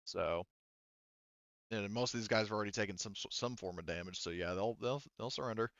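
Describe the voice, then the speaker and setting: A man narrates a tabletop roleplaying session as game master in a clear, smooth voice, recorded close and dry. He is halting and casual, working things out aloud with some swearing. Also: fairly low pitch, fairly bright tone, no room sound, highs cut off.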